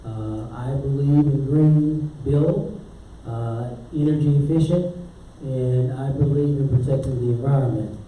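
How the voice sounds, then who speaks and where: A man's voice: medium pitch, steady tone, unhurried, mellow. A man representing a development project, answering residents at a public meeting in a courteous, reassuring way. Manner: courteous and reassuring, "yes, ma'am". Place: large community meeting hall. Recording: echoey hall, mic far off.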